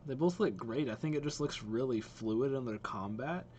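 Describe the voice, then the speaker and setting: A man's voice: mid-range voice, animated, normal speed, a little mellow, a little hiss or hum. A young man hosting a game reaction video, giving casual, off-the-cuff commentary. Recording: faint game music behind voice. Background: game trailer music.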